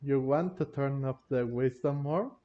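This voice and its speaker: A man giving casual, halting playthrough commentary with non-native phrasing, recorded on a dull lo-fi mic. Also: mid-range voice. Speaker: gaming commentator. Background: faint game music.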